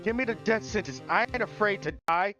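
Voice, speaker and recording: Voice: medium pitch, lively intonation, a little fast, lo-fi sound, over music, pitched above the performer's own voice, lightly acted. A game streamer voicing a melodramatic, despairing young male defendant from a visual novel. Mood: resigned, dramatic. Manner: melodramatic, whiny, exclaiming. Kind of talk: visual novel game dialogue read aloud.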